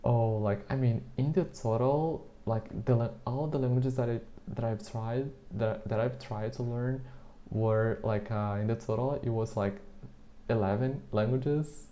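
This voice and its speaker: A man whose first language is Portuguese talks in English with a mellow, darkish tone and a middling pitch. He rambles in a friendly, hesitant way, stacking "like" and small restarts as he searches for words. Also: steady tone, unhurried. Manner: chatty, hesitant, leans heavily on the filler "like". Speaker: interviewer practicing English. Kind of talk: casual street conversation.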